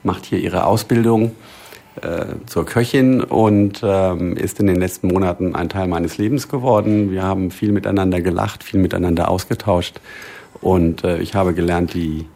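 A man who grew up in Germany, a guest in a radio studio, making earnest, measured introductions. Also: deep voice, fairly even intonation, vocal fry, mellow. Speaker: studio guest and music selector.

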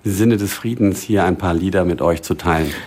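A man who grew up in Germany, a guest in a radio studio, making earnest, measured introductions. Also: low-pitched, darkish tone. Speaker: studio guest and music selector.

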